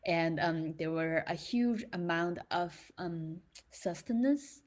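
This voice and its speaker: A woman's voice: lowish voice, takes it slowly. A young woman from China, talking reflectively and casually, with many fillers and restarts as she thinks aloud. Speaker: creator in residency.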